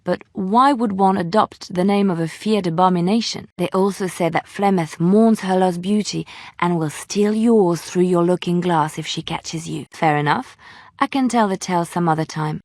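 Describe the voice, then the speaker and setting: A woman playing a gentle young female bard in a fantasy game, telling old legends in a measured, lyrical, earnest way. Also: on the low side, no room sound, clean studio sound, natural voice. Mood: intrigued, conversational. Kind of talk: dramatic fantasy storytelling, game voice acting.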